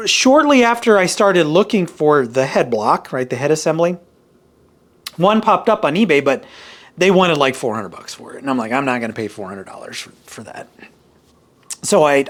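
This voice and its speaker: A man with a moderately high-pitched voice talks through a casual gear review. He rambles, backs up to rephrase and thinks aloud as he goes. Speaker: video reviewer of vintage hi-fi gear. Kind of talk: casual product review talk.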